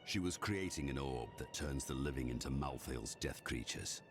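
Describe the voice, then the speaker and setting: A grave military commander in a fantasy game. His voice is extremely deep and gruff as he reports, with music clearly behind him. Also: normal speed. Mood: grim. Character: grave male army general reporting to a hero. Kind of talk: video game dramatic acting.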